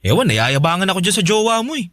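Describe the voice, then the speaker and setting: A man's voice: high voice, sing-song, fast, a bit bright, dry recording, clean studio sound. A man playing an earnest, suspicious young best friend in a Filipino radio drama, hesitant in manner.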